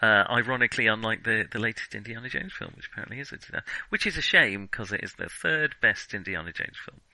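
A man's voice: fairly low pitch, quick pace, gravelly, pushed and bright, dry recording, low-quality recording. A man co-hosting a film podcast, chatting casually and often tentatively searching for words. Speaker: film discussion podcast co-host.

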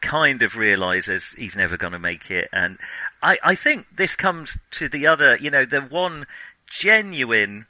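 A man's voice: medium pitch, sing-song, a little slow, sharp tone, no echo, low-quality recording. A man co-hosting a film podcast, talking casually and hesitantly, thinking aloud with digressions and a nerdy enthusiasm. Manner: self-confessed nerdy enthusiasm.

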